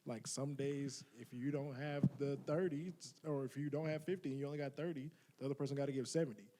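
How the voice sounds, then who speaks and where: A man's voice: mid-range voice, steady tone, quick pace, dark tone, a little hiss or hum. A man co-hosting a casual podcast, who reasons through his point step by step. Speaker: podcast co-host. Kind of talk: casual debate.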